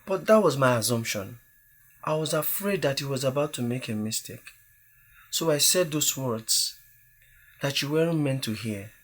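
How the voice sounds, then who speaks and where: A man playing the groom's earnest, apologetic young friend in an audio drama, explaining himself in a measured way. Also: mid-range voice, expressive, natural voice.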